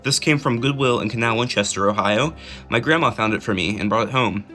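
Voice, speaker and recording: A young man with an American accent talks through items in a collection. His voice sits at an average pitch, and he speaks in an informal, matter-of-fact way, like a casual home presenter. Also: fairly quick, pushed and bright, soft background music. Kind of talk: casual collection showcase narration.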